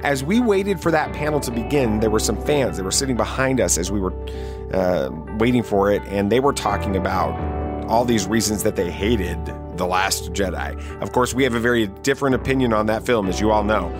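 A man's voice: fairly low pitch, fairly quick, music in the background. A man hosting a podcast, talking to listeners in an interview and sign-off style. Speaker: podcast host.

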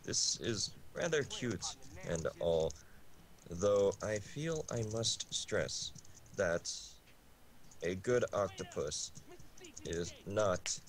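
A man reading absurd comic fiction in a deadpan way, drawing out his phrases with long pauses. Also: deep voice, poor audio. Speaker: guest reader on a game stream. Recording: game music behind the voice. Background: loud video game music.

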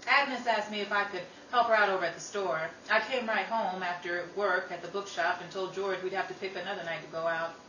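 A woman playing an outspoken, quick-tempered young working sister in an old-time radio style drama, brisk and dramatic. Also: mid-range voice, steady tone, bright, forceful tone, lo-fi sound, natural voice. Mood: wry, chatty. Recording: roomy, distant mic, noisy.

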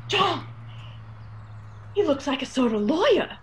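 A woman's voice: high voice, big pitch swings, measured pace, a little hiss or hum. A woman playing an anxious, superstitious older mother with folksy phrasing in a radio drama. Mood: anxious, watchful.

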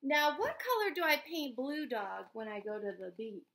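A woman in her thirties or forties reading a picture book aloud to young children, sing-song and expressive, often asking questions. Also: high-pitched, moderate pace, vocal fry. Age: 30s or 40s. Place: small indoor room at home.

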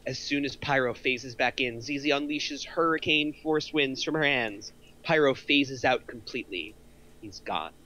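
A man's voice: mid-range voice, moderate pace, pushed and bright, dull audio. A middle-aged man reading out screenplay action lines as a narrator. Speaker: narrator of a script table read.

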